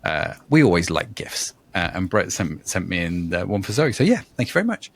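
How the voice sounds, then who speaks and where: A man's voice: deep voice, very animated, fairly quick. A man in his fifties hosting a podcast, chatty and self-deprecating, wandering off on tangents. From England. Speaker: podcast host. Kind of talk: casual interview chat.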